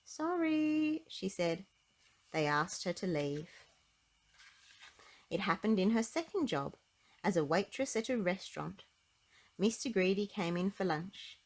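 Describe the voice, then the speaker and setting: A woman narrating a children's storybook aloud in an expressive, emphatic way with clear phrasing. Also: on the low side, unhurried, pauses often.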